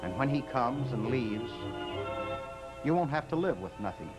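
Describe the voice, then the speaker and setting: A man's voice: medium pitch, animated, normal speed, loud music behind the voice, natural voice. A man playing a desperate, devoted, downtrodden husband in an old-time radio drama, speaking earnestly and pleadingly. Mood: sad, resolved. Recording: noisy vintage radio recording.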